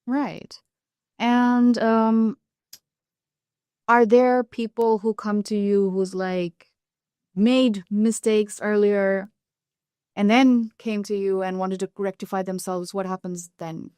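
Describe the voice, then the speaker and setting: A woman hosting a podcast, asking soft, unhurried questions. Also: mid-range voice, fairly even intonation, smooth, no echo, studio quality. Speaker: podcast host. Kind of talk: interview questions.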